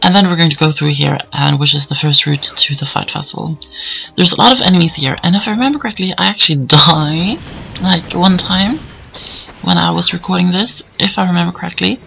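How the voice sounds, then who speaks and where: A hoarse man giving casual, rambling commentary over gameplay, with plenty of fillers. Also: high voice, a little fast, bright, forceful tone, low-quality recording. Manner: rambling, easygoing, many fillers. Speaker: let's play commentator.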